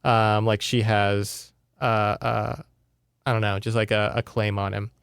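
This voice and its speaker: Smooth-voiced man, casual podcast storyteller. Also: lowish voice, little pitch movement, measured pace, no room sound, studio quality. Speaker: podcast co-host retelling a book. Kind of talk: casual conversational book recap.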